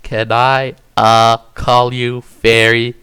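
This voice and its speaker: A man's voice: medium pitch, flat delivery, takes it slowly, pushed and bright, clean tone. A man voicing a shy, lovesick young troll boy who talks hesitantly, full of uhs, in an amateur fan fiction reading. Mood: bashful. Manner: hesitant, stammering with frequent uhs.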